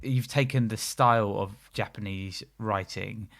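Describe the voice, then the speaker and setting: A man's voice: fairly low pitch, unhurried, vocal fry, loud music behind the voice. A man hosting an interview, asking a short, hesitant question from a bit away from the mic. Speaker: host.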